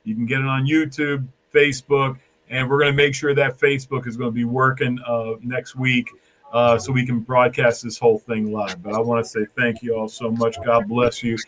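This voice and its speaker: A man's voice: medium pitch, little pitch movement, moderate pace, a bit bright, a little hiss or hum, low-quality recording. Effusive talk show host, a man. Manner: effusive, folksy, loose. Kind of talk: casual talk show hosting.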